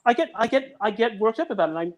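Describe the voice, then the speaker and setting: A man's voice: high-pitched, lively intonation, rapid-fire, muffled recording, crowd noise. A man who makes audio dramas, chatting on a video call in an earnest way and often restarting his sentences. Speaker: audio drama producer and guest. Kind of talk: casual podcast chat.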